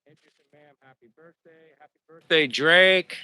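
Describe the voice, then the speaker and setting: Bright, chatty middle-aged man, going hoarse. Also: fairly high pitch, normal speed, dry recording. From Pennsylvania, USA. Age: 50s. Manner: rambling, chatty, answers viewers by name. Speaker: livestream vlogger. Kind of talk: casual live chat reading comments while walking. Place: outdoors on a walk.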